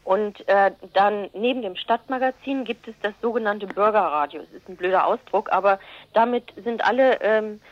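A woman's voice: mid-range voice, normal speed, dry recording. A woman on the radio staff explaining things unscripted in a phone interview, hesitantly searching for words. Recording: dull, band-limited telephone line.

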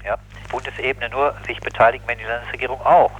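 A man speaking as an activist in a spontaneous political interview, critical and opinionated, halting and correcting himself as he goes. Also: medium pitch, steady tone, a bit bright. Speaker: anti-nuclear activist interviewee. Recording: muffled, lo-fi 1980s radio recording.

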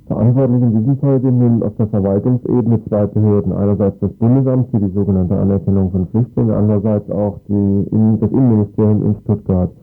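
A man's voice: on the low side, fairly even intonation, fast talker, hardly any pauses, phone-quality sound, soft background music. A man working as a radio interviewer, asking formal news questions.

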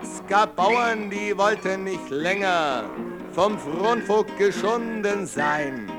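A man's voice: high-pitched, animated, slow pace, over music. A man singing a satirical political folk ballad in a mocking, theatrical way, over musical accompaniment. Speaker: protest folk singer. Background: musical instrument.

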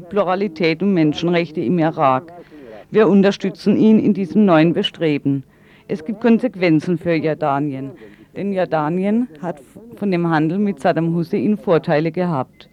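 A man's voice: high-pitched, a little mellow. A man working as an interpreter, delivering a spoken translation of interview answers. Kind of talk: consecutive voice-over interpreting. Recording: slightly dull radio sound.

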